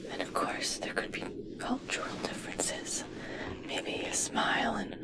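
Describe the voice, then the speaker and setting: A woman playing an adoring, obsessed scientist in an ASMR roleplay, speaking in a soft, breathy whisper with a gentle, halting, fixated manner. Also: a bass voice, wildly swooping pitch, full character voice. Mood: curious, studying. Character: obsessed, adoring female scientist studying a captive merperson.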